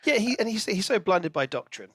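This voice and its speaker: A man co-hosting a podcast, chatting casually about books in an animated storytelling way and acting out imagined lines. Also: high-pitched, brisk, breathy edge, no room sound, clean studio sound. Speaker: podcast co-host.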